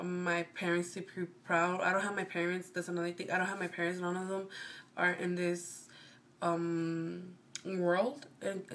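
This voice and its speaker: A woman's voice: fairly low pitch, slight background noise. A woman in her twenties from Chicago with a Mexican American accent, chatting casually to camera in a rambling, meandering way and often correcting herself. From Chicago, United States. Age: 20s. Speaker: vlogger.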